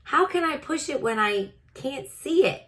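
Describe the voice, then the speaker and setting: A woman's voice: high voice, animated, a little slow, fairly bright tone, voice pushed up. A tiny storybook mouse, voiced by a woman, polite and earnest. He is a curious little fellow who asks questions and frets readily.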